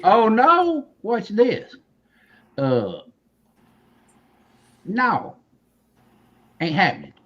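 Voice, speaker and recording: A man hosting a casual livestream chat. He talks in a lively, apologetic way and laughs often. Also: high voice, extremely slow, pauses often, highs cut off. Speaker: livestream host.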